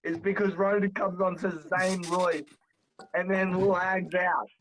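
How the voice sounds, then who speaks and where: A man's voice: high-pitched, level tone, unhurried, a bit bright, rough recording. A young man co-hosting a podcast in casual banter, teasing and swearing with emphatic repetition. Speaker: podcast co-host. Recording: clear background noise with clicks.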